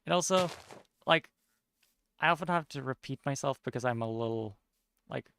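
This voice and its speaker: Mumbling gravelly man, Norwegian accent. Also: mid-range voice, normal speed, no room sound, studio quality. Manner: somewhat monotone. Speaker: streamer. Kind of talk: casual chat while gaming.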